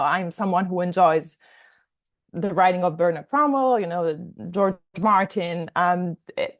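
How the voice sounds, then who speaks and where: A young woman from Italy, a native Italian speaker, answering interview questions as a novelist in a reflective, explanatory way. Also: on the low side.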